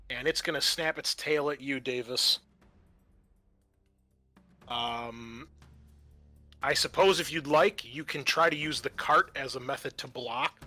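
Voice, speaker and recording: A man narrating a tabletop roleplaying game as game master, pausing often as he works out what to say. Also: medium pitch, pushed and bright.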